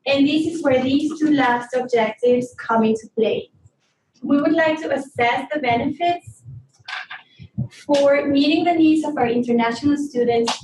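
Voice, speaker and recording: A woman hosting a workshop, explaining things politely and pausing now and then to find her words. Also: high-pitched, a little mellow. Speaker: webinar host and presenter. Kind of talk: presenting to a live workshop. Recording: echoey room, distant mic, faint noise.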